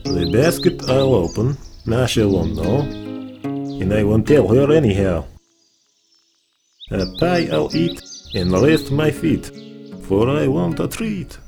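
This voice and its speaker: A man voicing a huge, rude, greedy bear in a children's story, slow and blustering, with a gravelly growl. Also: medium pitch, big pitch swings, music in the background, pitched above the performer's own voice. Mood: greedy, sing-song.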